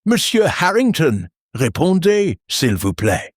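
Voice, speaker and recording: An urgent, formal French train conductor from an audiobook mystery, acted by a man. He calls out with French phrases in a lifted, very animated voice, husky and dry. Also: a little high, measured pace, dry recording, voice pushed up, exaggerated voice.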